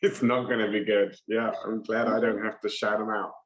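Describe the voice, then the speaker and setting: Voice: medium pitch, little pitch movement, brisk, low-quality recording. A person chatting casually over a video call. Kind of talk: casual chat.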